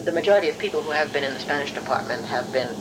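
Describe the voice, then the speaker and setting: A woman's voice: low voice, expressive, very fast. Middle aged lady from hungary, english not her first language, professor reminiscing in an interview, rambly but precise, noisy hum behind her. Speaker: university professor being interviewed. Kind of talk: oral history interview, recollection.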